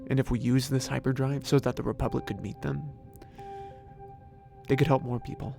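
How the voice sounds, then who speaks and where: A man's voice: medium pitch, steady tone, normal speed, creaky, music in the background, close to an everyday voice. An actor playing a weary, modest inventor who narrates his story in a hesitant manner. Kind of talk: fan audio drama narration.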